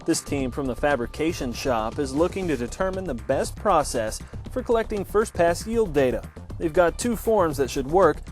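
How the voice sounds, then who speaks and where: A man reading a company newsreel as its anchor, in a smooth scripted announcer delivery. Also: a little high, lively intonation, normal speed, faint music behind. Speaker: news anchor. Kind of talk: scripted news narration.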